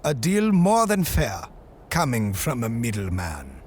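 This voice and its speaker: An actor playing a sick, wary desert outcast who speaks in terse, solemn, formal phrases in a post-apocalyptic audio drama. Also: male voice, mid-range voice, huge pitch swings, unhurried. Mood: guarded, dry. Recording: loud ambient noise behind the voice.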